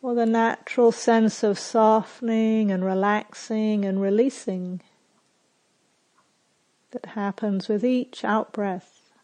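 Woman leading a guided meditation, real slow and gentle, mellow warm voice. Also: mid-range voice, fairly even intonation. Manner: slow, soft, unhurried with long pauses. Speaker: meditation teacher. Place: retreat center.

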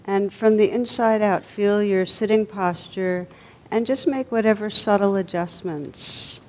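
A woman meditation teacher leading a guided meditation in a gentle, unhurried manner with long pauses. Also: mid-range voice, slight background noise, low-quality recording.

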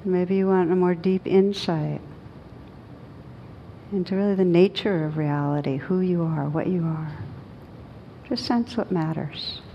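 A woman teaching meditation, guiding a group in a gentle, unhurried manner with long pauses. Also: dull audio. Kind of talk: guided meditation led for a group. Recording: clearly noisy with low rumble.

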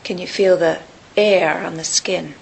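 A woman teaching a guided meditation in a calm, unhurried, gentle manner with long pauses. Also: fairly low pitch, rough recording. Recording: hissy recording with clear background noise.

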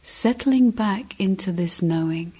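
A woman meditation teacher giving guided instruction, speaking gently and deliberately in short phrases with long pauses. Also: medium pitch, husky.

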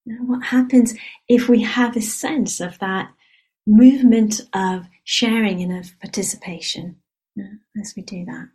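A woman whose mother tongue is Hebrew guides a reflection after meditation in a retreat hall. Her voice is mellow and breathy. She speaks softly and without hurry, and often ends a thought with a quiet "yeah?". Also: mid-range voice, fairly even intonation. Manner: soft, unhurried, often adds a questioning "yeah?". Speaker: meditation teacher.

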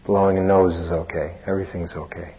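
A man leading a guided meditation as a teacher, speaking plainly in short, unhurried phrases with long pauses. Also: sits low, level tone, breathy edge. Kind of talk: guided meditation instruction, spoken live. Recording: dull, muffled lo-fi recording.

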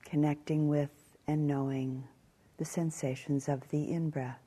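A woman teaching guided meditation in a calm, measured way, leaving long pauses between short phrases. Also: low-pitched, slightly rough.

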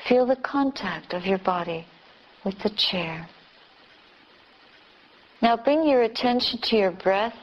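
Slow-paced woman guiding a meditation. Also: medium pitch, rough recording. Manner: calm, gentle instructions with long pauses. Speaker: Buddhist nun teaching meditation. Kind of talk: guided meditation for beginners.

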